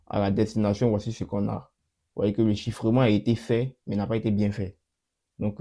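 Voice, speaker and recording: Young guy with a cold walking thru his code, low dark voice, keeps repeating himself. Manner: repeats himself, many fillers and restarts. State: has a cold, voice a bit off. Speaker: channel host. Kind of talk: casual screen-recorded coding walkthrough.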